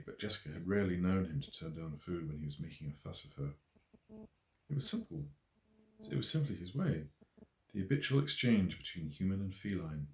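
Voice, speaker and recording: A man reading a novel aloud as its author, in measured, expressive literary narration. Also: sits low. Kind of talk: scripted audiobook narration. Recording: roomy echo.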